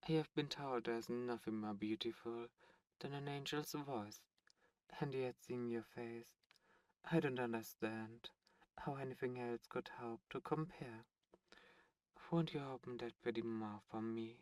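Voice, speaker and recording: Man acting as smug demon buyer, teasing and menacing, unhurried pauses, roomy distant sound, ASMR roleplay. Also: mid-range voice, fairly even intonation, a little mellow, close to an everyday voice. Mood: amused, teasing. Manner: taunting, deliberate, drawn-out delivery with pauses. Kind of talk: ASMR roleplay audio drama.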